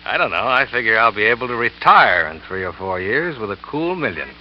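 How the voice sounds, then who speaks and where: A man's voice: lowish voice, sing-song, fairly quick, a little hiss or hum. A cold, scheming salesman. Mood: boastful. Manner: smooth, boastful, quietly cold narration. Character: scheming smooth-talking male salesman, cold and calculating. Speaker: narrator and lead character. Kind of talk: 1940s radio drama acting. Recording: dull old radio recording with music.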